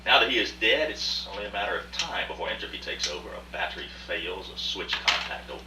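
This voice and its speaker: An actor playing a vengeful, obsessive gentleman art collector in a radio drama, with formal, theatrical delivery and outbursts. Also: low voice, lively intonation, quick pace. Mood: grim, composed. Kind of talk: live radio drama acting. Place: indoor rehearsal of a live radio play. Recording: roomy, distant mic, hum and hiss.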